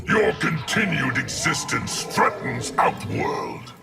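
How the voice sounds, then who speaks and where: An actor voicing a menacing collective of many souls in a fighting game, solemn, with a raspy, breathy growl. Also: male voice, medium pitch, wildly swooping pitch, takes it slowly, bright, forceful tone, far from the mic, faint music behind, full character voice. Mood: threatening. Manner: solemn, speaks as "we". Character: menacing hive of many souls speaking as one being. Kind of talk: video game fighter dialogue.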